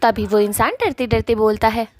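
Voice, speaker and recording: A woman's voice: on the high side, fairly even intonation, normal speed, no room sound, clean studio sound. A woman narrating a scripted cartoon story as its storyteller.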